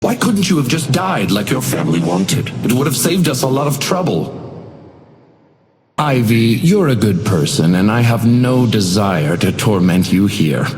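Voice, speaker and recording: A man's voice: fairly low pitch, very animated, a little slow, a little mellow. An actor playing a sinister otherworldly hotel doorman in a video game, silky and formally polite while cruelly taunting. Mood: ominous courtesy. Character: sinister otherworldly male hotel doorman, suave, mockingly courteous tormentor. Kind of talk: theatrical video game villain monologue. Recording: cavernous echoing hall reverb, music behind.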